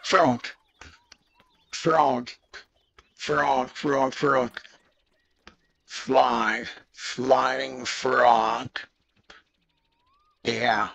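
A man comments casually on a game through a voice changer. His voice is rough and croaky, with a husky edge. He talks very slowly, in short fragments that he often repeats. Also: medium pitch, fairly even intonation, a bit bright. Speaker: gamer recording gameplay.

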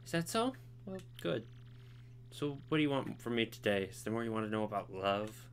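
A man voicing a sweet, polite young princess in a game, raising his voice softly. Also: lowish voice, big pitch swings, a little fast, a little hiss or hum. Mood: gentle.

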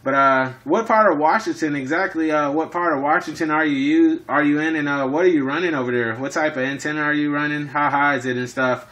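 A man's voice: fairly high pitch, brisk, hardly any pauses, fairly bright tone. A man in casual two-way radio chat, speaking in operator jargon and often repeating himself. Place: indoors in an apartment.

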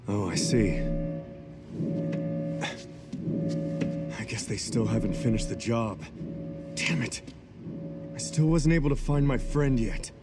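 An actor playing a polite, chatty young adventurer in a video game cutscene, earnest and conversational. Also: male voice, mid-range voice, animated, normal speed, loud music behind the voice, natural voice. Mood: disappointed.

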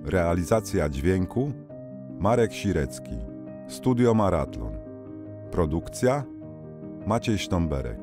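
A man with a deep voice reads credits as an announcer. He goes slowly, with clear guitar music behind him. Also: sing-song.

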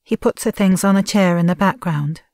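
A woman's voice: medium pitch, moderate pace, a little mellow, dry recording, clean studio sound, close to an everyday voice. A woman reading stage directions as the neutral narrator of an audio play, in scripted narration.

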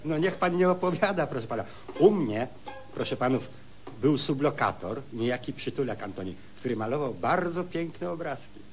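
An actor playing an excitable, eager-to-please amateur music lover in a comic radio play, talking politely and in flustered repetitions. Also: male voice, medium pitch, normal speed, a little hiss or hum, close to an everyday voice. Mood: excited, fussy.

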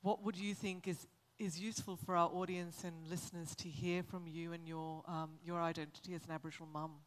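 A woman's voice: fairly low pitch, flat delivery, brisk. A woman moderating a panel, thanking speakers and posing questions in an encouraging manner. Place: studio lounge set. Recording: reverberant room.

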